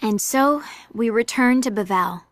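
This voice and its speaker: A woman's voice: fairly high pitch, moderate pace, no room sound, natural voice. A woman playing a brash, impatient, sarcastic young adventurer in a fantasy audio drama, with blunt, sassy delivery. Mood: sardonic, dismissive.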